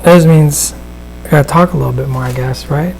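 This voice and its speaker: A man with a raspy voice, chatting casually and giving a live painting demonstration, with plenty of fillers and restarts. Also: fairly high pitch, fairly quick, a little mellow, a little hiss or hum, poor audio. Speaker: miniature painter and host. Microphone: studio microphone at a desk.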